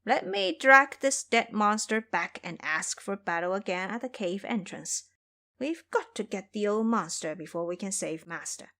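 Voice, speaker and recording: A woman voicing a brash, fiery monkey warrior in an audio drama, with a taunting, forceful manner. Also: medium pitch, expressive, normal speed. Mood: eager, brisk.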